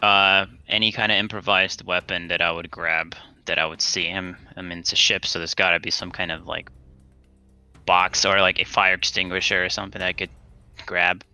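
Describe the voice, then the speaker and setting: A low-pitched man with a very sharp, bright edge to his voice chats as a player in a tabletop game. He speaks casually and hesitantly, working out his move aloud, with faint music behind. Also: little pitch movement, moderate pace, smooth.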